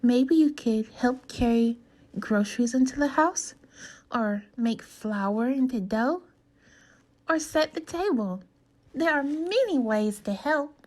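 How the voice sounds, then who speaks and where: A woman with a fairly high voice reads a children's story at a slow pace. Her delivery is deliberate and instructive, like a teacher guiding young listeners through a lesson and putting questions to them. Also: lively intonation, darkish tone. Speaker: teacher reading aloud to children. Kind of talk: slow storybook read-aloud and lesson for kids.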